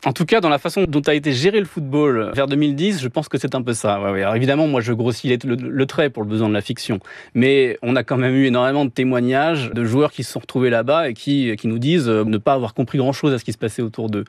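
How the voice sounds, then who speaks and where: A man's voice: medium pitch, fast talker, a little mellow, dry recording. Dry-sounding Frenchman in his thirties, reflective. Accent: French from France. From France. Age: 30s. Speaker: guest, novelist. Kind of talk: interview conversation.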